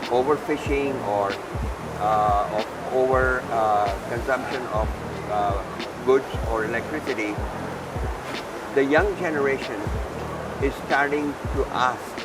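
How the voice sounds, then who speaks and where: A man being interviewed as a company executive, explaining at length in earnest, deliberate, point-by-point phrasing. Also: medium pitch, rough recording. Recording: music laid under the voice. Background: background music.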